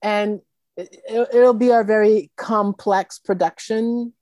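A woman who organizes a film festival, answering interview questions in an earnest, expressive way and often adding "right?". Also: medium pitch, takes it slowly, no room sound.

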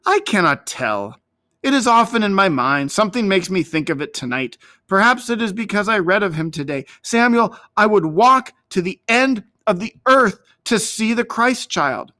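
A man's voice: mid-range voice, huge pitch swings, slow pace, few pauses, sharp tone, dry recording, clean studio sound, heavily acted. A man voicing an eager, hot-headed young shepherd boy in an earnest, dreamy storybook manner. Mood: wistful, earnest.

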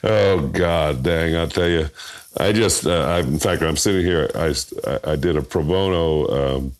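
Older man with a very deep voice. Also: moderate pace. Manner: chuckling, rambling storyteller. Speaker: bandleader and voiceover artist. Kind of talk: casual interview chat.

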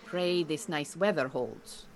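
A woman playing a calm, capable Iranian beekeeping teacher in a naturalistic audio drama, giving measured, reassuring instruction. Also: deep voice, a little hiss or hum, heavily acted. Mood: calm, instructing. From Iran.

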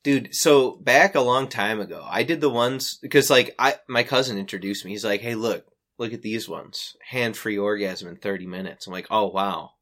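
A man co-hosting a podcast in casual comedic chat, telling stories in an animated way and laughing often. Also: fairly low pitch, normal speed. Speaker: podcast co-host. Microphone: desk USB condenser mic.

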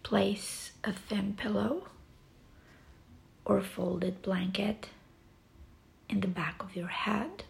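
Slow, creaky-voiced woman guiding relaxation. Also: medium pitch. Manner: soft, soothing, breathy delivery with long pauses. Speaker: yoga nidra guide. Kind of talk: guided relaxation meditation.

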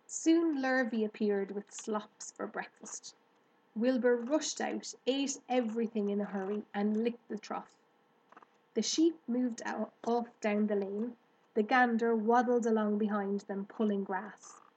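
A woman narrating a children's storybook aloud in a measured, scripted delivery. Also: on the high side, fairly even intonation, darkish tone, breathy edge, poor audio. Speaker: storybook reader.

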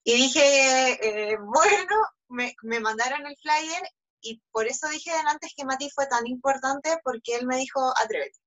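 A young woman with a Chilean accent, a pageant contestant telling her story in a lively, chatty way full of fillers. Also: high voice, measured pace. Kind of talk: interview storytelling.

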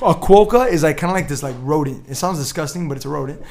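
A young man hosting a podcast, telling loud, profane, teasing stories among friends. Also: fairly high pitch, expressive, fast, a crowd in the background. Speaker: podcast host. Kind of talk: rowdy casual chat among friends.

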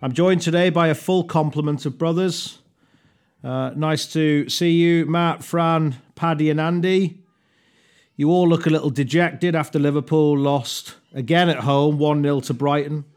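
A man hosting a casual football fan podcast, leading the chat in a measured, thoughtful way. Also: a little high, darkish tone. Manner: measured, thoughtful, leads discussion. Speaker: podcast host.

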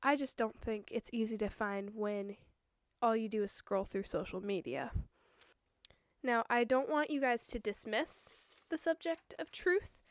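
A young woman hosting her own podcast, talking in a chatty, earnest way with a voice that is hoarse from a cold. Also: fairly high pitch, unhurried, no echo. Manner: earnest, chatty, a little nervous. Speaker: podcast host. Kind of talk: casual solo podcast talk.

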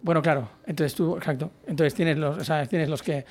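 Fast-talking Catalan man, rambling. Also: a little high, fairly even intonation, darkish tone. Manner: rambling, many restarts and "o sea" fillers. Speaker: guest writer and journalist. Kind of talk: casual interview chat.

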